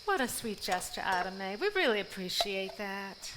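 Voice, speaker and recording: A woman's voice: medium pitch, very animated, moderate pace, close to an everyday voice. An actress playing a kindly, polite woman in a live stage drama. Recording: noisy live stage recording.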